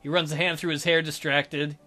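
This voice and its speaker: High, bright-voiced man narrating a story. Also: little pitch movement, brisk, faint music behind. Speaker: let's play narrator. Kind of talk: dramatic reading of visual novel narration.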